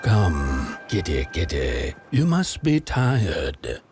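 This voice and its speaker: A man voicing a sinister, coaxing old crone in a dark fairy-tale video game. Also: extremely low pitch, wildly swooping pitch, extremely slow, music in the background, exaggerated voice. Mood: wheedling.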